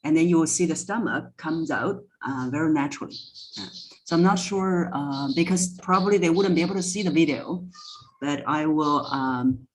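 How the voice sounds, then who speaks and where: Woman voice coach explaining deliberately. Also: sits low, low-quality recording. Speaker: voice coach guest. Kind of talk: podcast interview, explaining and demonstrating exercises.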